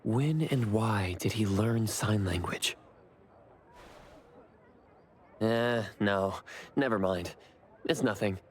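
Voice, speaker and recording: Low, earnest young man. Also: slow pace, a little hiss or hum. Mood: reserved. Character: earnest, reserved young man who takes commissions. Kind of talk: anime game voice acting, conversational.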